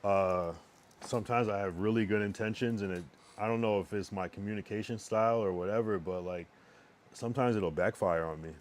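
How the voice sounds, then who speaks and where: A young man with a very low, worn-sounding voice rambles through a casual live stream, halting and restarting as he talks. He sits at a home streaming setup with his own microphone. Faint background noise hums underneath. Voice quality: strained, worn voice.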